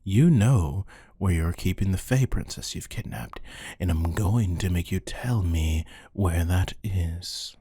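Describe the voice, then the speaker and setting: A man playing a bored, menacing hired interrogator in an ASMR roleplay, speaking in a deadpan, condescending, taunting way with a husky voice. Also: low-pitched, slow, no room sound, studio quality, exaggerated voice. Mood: dry, sardonic.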